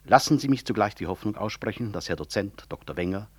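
An actor playing an urbane hospital director in a German radio play, chairing formally with courteous, measured, dry irony. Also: male voice, on the low side, lively intonation, husky, no echo. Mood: composed irony. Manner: courteous, measured, dryly ironic.

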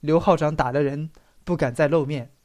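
An audiobook reader narrating a literary story in an expressive storytelling manner that stresses the dramatic phrases. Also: medium pitch, moderate pace.

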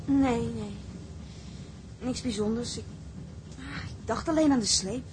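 Vastberaden hoge vrouwenstem, gespeeld door een actrice. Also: female voice, on the high side, unhurried, background noise, close to an everyday voice. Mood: uneasy. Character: bold, stubborn, watchful seafaring wife on a tugboat. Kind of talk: Dutch period drama acting.

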